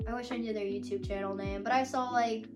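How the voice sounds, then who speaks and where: Young woman, casual, rambling, lots of fillers, higher pitch, guitar music under. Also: fairly even intonation, quick pace. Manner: rambling, full of "like" and little laughs. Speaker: songwriter answering fan questions. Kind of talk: casual chat.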